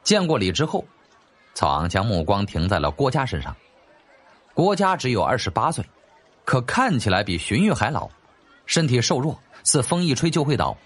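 一位男演播者用戏剧化又略带调侃的口吻讲述历史小说有声书。. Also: male voice, medium pitch, sing-song, normal speed, husky. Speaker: audiobook narrator. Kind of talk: dramatic storytelling narration of a historical novel. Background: faint background music.

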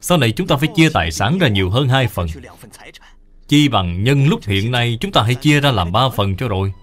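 A man acts an arrogant young master in a cartoon fable. He is selfish and scornful, with pitch that swings about in a lively way. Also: fairly quick, natural voice. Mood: scheming. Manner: haughty, scornful.

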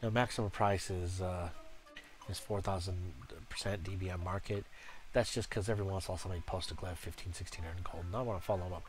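A man with a stuffy nose from a cold, walking through game settings in a casual, halting tutorial full of uhs and restarts. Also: low voice. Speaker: game guide creator. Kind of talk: casual screen-recorded tutorial.

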